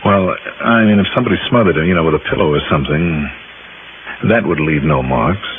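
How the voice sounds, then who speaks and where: A man's voice: rumbling low, brisk, background noise. A man playing a shrewd, dogged insurance investigator in a 1950s radio detective drama, with a probing, wry, clipped delivery. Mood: insistent, musing. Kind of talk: 1950s radio detective acting with first-person narration. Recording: muffled old radio broadcast, music underneath.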